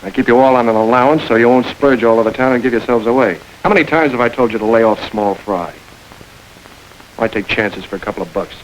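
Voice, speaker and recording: An actor playing a smooth, calculating gang boss in a 1930s crime film, calm and commanding. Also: male voice, medium pitch, quick pace, slight background noise, natural voice, poor audio. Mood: calm authority. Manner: cool, controlled, quietly commanding. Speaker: gang chief.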